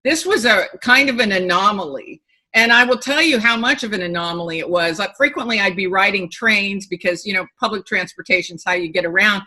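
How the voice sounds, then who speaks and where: A middle-aged woman speaking as a guest author over a video call from a room at home, telling her stories in an animated, emphatic and candid way. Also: medium pitch, fairly quick.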